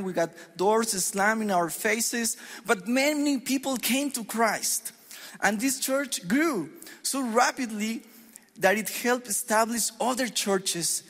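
A middle-aged Colombian pastor with a Colombian Spanish accent delivers an earnest, measured sermon. His voice sits high for a man, and it rings with the long reverb of a church hall. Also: a bit bright. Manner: earnest, measured preaching with deliberate pauses on scripture.

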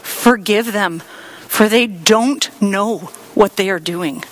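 A woman reading a gentle dying man. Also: medium pitch, slow, poor audio. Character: calm, merciful dying man.

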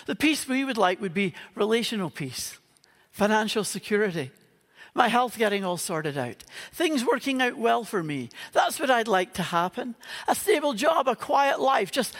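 A man's voice: sits high, very animated, moderate pace, a bit bright, long reverb tail. A man with a Northern Irish accent preaching an earnest Christmas Eve sermon as a pastor. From Northern Ireland. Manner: earnest, with playful humorous asides. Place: church auditorium.

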